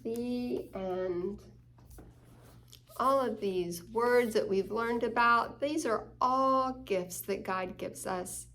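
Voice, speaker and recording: Slow, higher-voiced woman reading to children. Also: fairly bright tone, a little hiss or hum. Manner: slow, deliberate, acting out quoted lines; simple explanatory teaching tone for young children. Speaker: story time host reading a picture book. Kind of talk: expressive children's read-aloud with asides to listeners.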